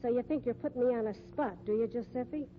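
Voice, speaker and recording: Actress as brassy, high-voiced American carnival dame. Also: female voice, quick pace, slight background noise, close to an everyday voice. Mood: indignant. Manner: slangy, needling. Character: brassy, suspicious, sharp-tongued carnival woman. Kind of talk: 1950s radio drama acting. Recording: dull, humming 1950s radio recording.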